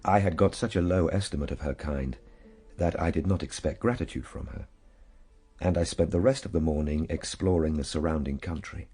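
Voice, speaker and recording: An actor playing an earnest, reflective gentleman time traveller in a radio drama, moving between measured storytelling and simple, tender coaxing. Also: a bass voice, slightly rough. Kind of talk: radio drama narration and acting.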